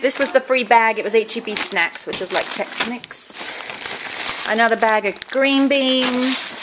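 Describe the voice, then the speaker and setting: A woman in a casual vlog chatting through her shopping haul at home, listing items, coupons and prices. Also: a little high. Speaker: vlogger showing a shopping haul. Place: home, indoors. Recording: noisy home video with bag crinkling. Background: crinkling bags and clicks.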